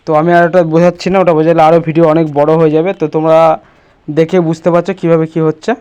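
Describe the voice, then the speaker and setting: High-voiced man giving a quick tutorial. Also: flat delivery, darkish tone, clean tone, highs cut off. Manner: instructional, often checks listener follows. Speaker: tutorial presenter. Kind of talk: casual step-by-step screen tutorial.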